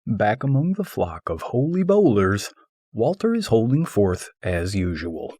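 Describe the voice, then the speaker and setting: Male narrator, lowish voice, animated intonation, wry scripted essay reading, dry close sound. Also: a little slow, slightly rough, no room sound. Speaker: audiobook narrator.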